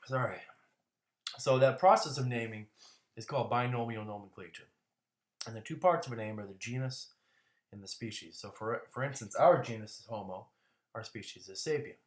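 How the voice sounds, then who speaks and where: A man's voice: medium pitch, normal speed, pauses often, roomy sound. A man teaching a classroom lecture in a casual way, often restarting words and coughing now and then.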